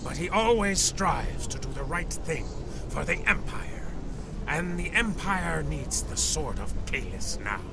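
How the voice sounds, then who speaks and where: Slow gravelly Klingon bard, dramatic. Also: male voice, on the high side, big pitch swings, noisy background, heavily acted. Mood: solemn, proud. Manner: dramatic, deliberate, ceremonious. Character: solemn Klingon storyteller, proud aging alien warrior bard. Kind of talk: video game dialogue.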